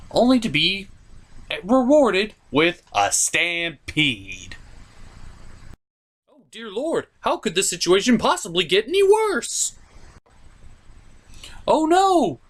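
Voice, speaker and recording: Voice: medium pitch, fairly bright tone. A reviewer giving a scripted comedic movie recap in a sarcastic, mock-exasperated way. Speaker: host reviewer.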